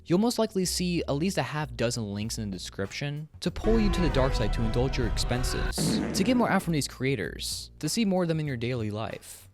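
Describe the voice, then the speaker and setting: A young man narrating an opinion video essay in a conversational, frank and emphatic way, sometimes swearing. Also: mid-range voice. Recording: music under the voice. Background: background music.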